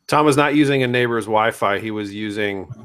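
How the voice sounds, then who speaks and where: A man's voice: fairly low pitch, brisk, noisy background. A man co-hosting a podcast in casual post-show livestream chat, joking and teasing in an informal way. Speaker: podcast co-host.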